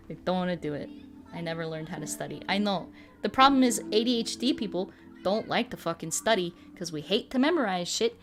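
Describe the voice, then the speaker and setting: A young adult woman, chatting casually and musingly as she hosts a livestream and answers viewers. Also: lowish voice. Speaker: livestream host. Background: background music.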